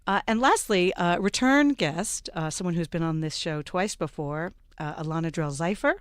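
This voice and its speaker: A woman hosting a radio panel show, introducing her guests in a measured way with plenty of ums and uhs. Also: fairly low pitch, dry recording, clean studio sound. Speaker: radio talk show host. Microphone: studio microphone.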